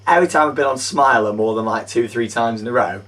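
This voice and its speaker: A young man hosting a chatty, upbeat theme park news show, his voice gone a little croaky, talking enthusiastically with frequent fillers. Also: medium pitch, fast talker, fairly bright tone, recorded from a distance. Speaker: host.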